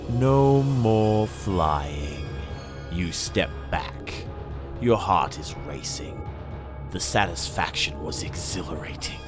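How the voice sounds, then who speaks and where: A man reading a dramatic story as scripted narration, with a theatrical, savouring delivery and weighty pauses. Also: low voice, expressive. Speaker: audiobook-style reader. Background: music.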